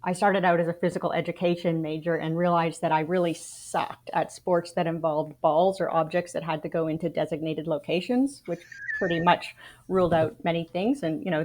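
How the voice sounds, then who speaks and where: A woman's voice: fairly low pitch, steady tone, a little fast, a little mellow. A Canadian woman in her forties, a podcast guest, telling her life story frankly and with dry wry humor. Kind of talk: casual interview storytelling.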